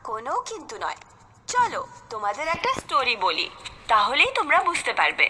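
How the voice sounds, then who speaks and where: A woman's voice: fairly high pitch, a little fast, full character voice. A woman voicing a patient, encouraging mother who reassures her children and tells them a story. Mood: firm. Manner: gentle and reassuring, lively storybook telling. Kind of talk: cartoon dubbing and storybook narration. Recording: background music under the voice.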